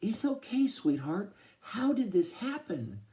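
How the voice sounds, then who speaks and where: A man's voice: mid-range voice, sing-song, moderate pace, dark tone, pitched above the performer's own voice, lightly acted. A man voicing a worried, tender mother with a Southern US accent.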